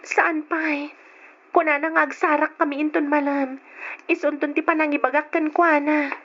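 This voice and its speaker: A woman's voice: high voice, level tone, normal speed, fairly bright tone, slight background noise. An actress playing a young woman in love who fears she is pregnant, in an old radio drama with a lo-fi sound. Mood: worried.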